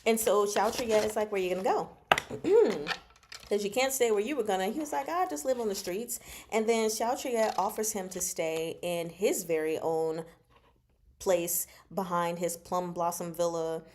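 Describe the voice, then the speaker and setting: A woman hosting a casual book discussion livestream, chatting to viewers in an expressive, exclaiming manner. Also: medium pitch, normal speed, a little hiss or hum. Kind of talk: casual chat, discussing a novel with live viewers.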